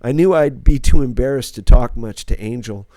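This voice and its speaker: Male audiobook narrator, mid-pitched, scripted first-person fiction, very dry sound. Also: moderate pace, mellow, dry recording.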